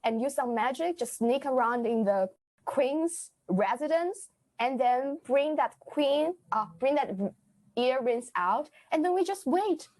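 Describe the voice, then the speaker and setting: A woman improvising an earnest former royal maid who is secretly a witch, speaking with halting phrasing. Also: sits high, a little mellow. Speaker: improv player. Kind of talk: improvised comedy scene.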